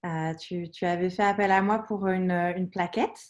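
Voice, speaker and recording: A woman interviewing a client in a friendly, relaxed way, asking questions in casual conversation. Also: fairly low pitch, normal speed. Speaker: interviewer, graphic designer.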